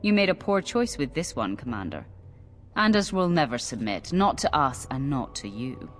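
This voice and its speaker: Self-righteous male knight villain for fantasy game: mid-pitched, formal, haughty, menacing. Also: sing-song, normal speed, a bit bright, soft background music. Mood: smug menace. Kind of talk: fantasy game dialogue acting.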